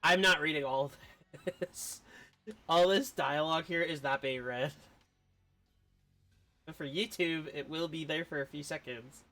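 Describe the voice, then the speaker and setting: A streamer with a medium-pitched voice chats casually while playing a game. The delivery is giggly, rambling and easily flustered, with game music playing faintly behind. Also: a little slow, long pauses, pushed and bright. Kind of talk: casual live-stream commentary on a video game.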